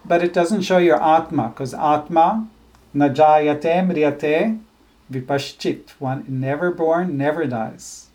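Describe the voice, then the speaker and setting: Man, slightly high voice, scripture teacher, thoughtful, halting, restarts often. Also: darkish tone. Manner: chants verses slowly. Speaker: spiritual teacher. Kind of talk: explaining scripture in a class.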